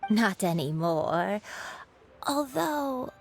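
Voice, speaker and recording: A slow, dreamy old granny. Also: female voice, medium pitch, big pitch swings, creaky, exaggerated voice. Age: older. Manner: slow, meandering, wistful. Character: forgetful, gentle, dreamy elderly grandmother. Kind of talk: English video game dub. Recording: game audio with music underneath.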